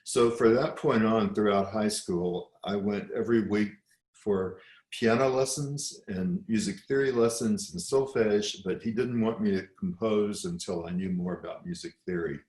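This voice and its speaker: An older American man from South Texas speaks in a notably low register. He talks reflectively as an interview guest, often doubling back and restarting phrases. The room adds a clear echo around his voice. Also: moderate pace, darkish tone. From South Texas, United States.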